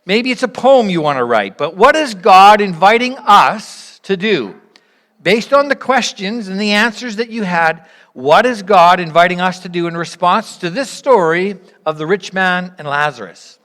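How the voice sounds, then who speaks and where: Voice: mid-range voice, lively intonation, moderate pace, a bit bright. A church leader addressing a congregation with instructions and reflection in an encouraging, invitational, explanatory way. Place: large reverberant room, church gathering. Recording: reverberant hall sound.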